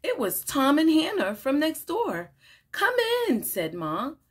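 Slow, expressive woman storytime reader. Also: sits high, a little hiss or hum. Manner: expressive, addressing young listeners. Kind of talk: read-aloud picture book storytelling. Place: small room at home, indoors.

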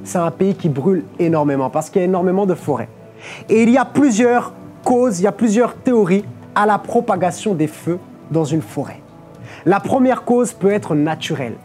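Young guy preaching on a studio stage, mellow warm voice, keeps checking in with the audience, faint music underneath. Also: high voice, moderate pace. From Portugal. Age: young adult. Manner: explanatory, peppers phrases with "ok?" and "amen". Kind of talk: sermon on a live stream. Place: studio stage with a clear lectern.

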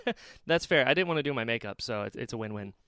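A man's voice: mid-range voice, animated, quick pace, fairly bright tone, clear, resonant tone, dry recording, clean studio sound. A man from North Carolina who grew up in Florida, hosting a podcast in a playful, laughing chat. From North Carolina, raised in Florida. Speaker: podcast host. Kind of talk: casual interview chat. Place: at home in Florida.

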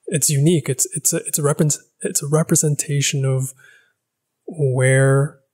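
A man's voice: a little high, fairly even intonation, a little slow, darkish tone, slightly rough. A young man talking through his thoughts on a casual vlog, thoughtful and rambling, often restarting sentences, into a podcast mic in a small living room. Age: young adult. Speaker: language-learning vlogger. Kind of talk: casual reflective monologue. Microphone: boom-arm podcast mic.

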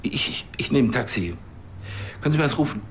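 An actor playing a self-important, indignant former police councillor who stammers in a TV crime comedy. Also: male voice, medium pitch, lively intonation, fast talker, gravelly, slight background noise. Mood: flustered indignant.

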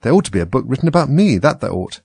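A man's voice: medium pitch, very animated, racing, vocal fry, darkish tone, slightly rough, no echo, pitched above the performer's own voice, heavily acted. A man voicing a chatty young girl who muses earnestly and plaintively to herself in a storybook. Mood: wistful musing. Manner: musing, earnest. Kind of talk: storybook character voice.

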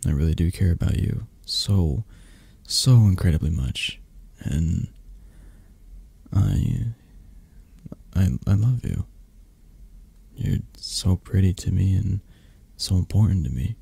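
A man playing a possessive, tender mafia boss lover in intimate roleplay ASMR, murmuring softly and hesitantly with a breathy, gravelly voice. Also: low-pitched, slow, pauses often, no echo, full character voice. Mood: tender, loving.

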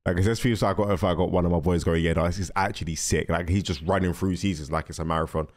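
A man's voice: low-pitched, steady tone, quick pace. A man in his twenties giving casual, rambling reaction commentary in slang, close on a desk microphone. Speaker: reaction video host. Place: small carpeted room indoors. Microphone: close desk microphone, headset on.

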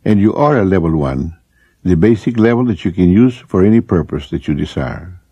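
A man leading a scripted guided relaxation as an instructor, speaking in measured, deliberate short phrases with pauses. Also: low voice, expressive, warm tone, slightly rough, no echo. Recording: muffled, noisy lo-fi recording with clicks.

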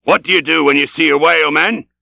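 Fierce, vengeful old sea captain, high and impassioned. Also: male voice, measured pace, gravelly, pushed and bright, no room sound, exaggerated voice. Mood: commanding, excited. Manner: vehement, archaic, declamatory speech. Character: old, obsessed, vengeful whaling ship captain, fierce and commanding.

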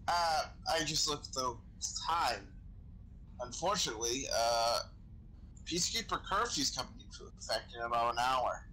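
Guy doing a chatty space crewman in a game rp, swears a lot, really bright punchy voice, bit of noise. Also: medium pitch, animated, moderate pace, low-quality recording. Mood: casual. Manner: casual, sweary. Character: chatty, sweary sci-fi crewman who knows weapons. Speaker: Star Wars roleplay player. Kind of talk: improvised in-character gaming chat. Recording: gaming voice chat with background noise.

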